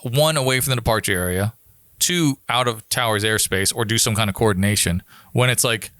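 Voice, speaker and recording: A man's voice: on the low side, brisk, a bit bright, no echo, studio quality. An American man talking casually and informally, explaining how his work as an air traffic controller goes, like a guest on a podcast.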